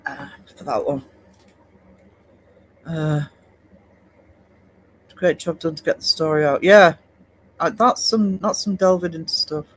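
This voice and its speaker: Livestream host, man, high-pitched, rambling and chatty with his viewers. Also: fairly even intonation, slow pace, pauses often, a bit bright, low-quality recording. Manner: chatty, rambling, many fillers and restarts. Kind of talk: casual chat reading live comments.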